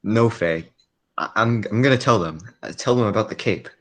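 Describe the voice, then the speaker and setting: A man playing a quiet, lovelorn but stubborn circus man in a 1940s radio drama, hesitant and repeating himself. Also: on the low side, moderate pace, natural voice. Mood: anguished, resolute.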